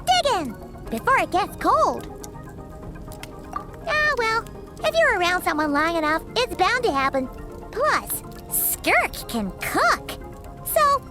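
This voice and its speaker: A woman's voice: very high-pitched, exaggerated voice. A woman voicing a tiny, childlike fairy companion in an anime game, exclaiming and talking about herself in the third person.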